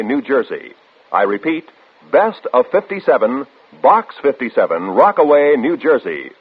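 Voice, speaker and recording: American guy doing an old radio ad, animated salesman, bit husky, tinny sound. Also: medium pitch. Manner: salesmanlike, emphatic. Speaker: commercial announcer. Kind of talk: 1950s radio advertisement, scripted.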